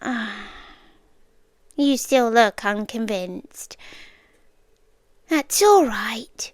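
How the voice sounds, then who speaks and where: Woman acting a slow, high-voiced British yandere girlfriend. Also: expressive, dry recording, studio quality. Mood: tender, menacing. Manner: slow, hushed, deliberate, often slipping into whispers. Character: soft-spoken obsessive yandere girlfriend, gently menacing captor. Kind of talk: intimate ASMR roleplay. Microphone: close microphone, dry.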